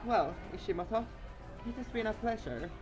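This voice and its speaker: Man putting on a high, prissy schoolgirl. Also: animated, normal speed. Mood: coolly polite. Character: prim, haughty teenage schoolgirl with polite airs. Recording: dull muffled sound, loud music, crowd behind.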